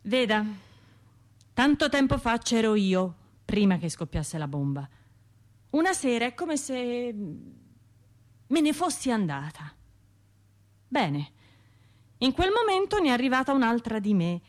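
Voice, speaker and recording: An actress with a Tuscan accent playing a wounded, wry woman who survived a bombing, in a confiding, halting radio monologue. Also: a little high, very animated. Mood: troubled, confiding. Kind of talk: radio theatre monologue, conversational storytelling.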